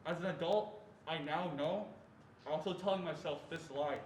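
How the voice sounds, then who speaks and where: A young man performing as an adult man who earnestly recalls his fearful boyhood in a deliberate stage reading. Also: a little high, lightly acted. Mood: earnest, reflective. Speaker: student performer reading as narrator. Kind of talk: reader's theatre narration of a first-person memoir. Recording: echoey room with faint noise.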